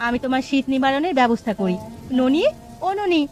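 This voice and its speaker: A woman's voice: high-pitched, lively intonation, brisk. A woman playing a caring, worried village mother in a fairy tale cartoon.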